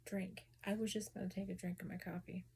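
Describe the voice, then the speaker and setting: A woman talking casually about spirits and grief in a soft whisper, hesitant and full of restarts. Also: mid-range voice, flat delivery, brisk, creaky, slight background noise. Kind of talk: casual personal talk.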